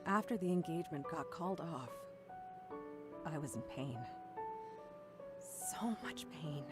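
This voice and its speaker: A woman voicing an elegant older sister figure who speaks softly and affectionately. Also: sits low, expressive, measured pace, over music. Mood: pained. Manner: soft, affectionate, calls others darling. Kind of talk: visual novel voice acting.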